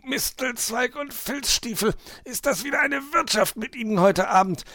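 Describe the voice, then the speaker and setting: A man's voice: sits high, lively intonation, normal speed, creaky, no room sound, clean studio sound, pitched above the performer's own voice. A man voicing a grumbling, put-upon fox for a children's story, whiny and huffily formal. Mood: exasperated. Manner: whiny, scolding, exaggerated.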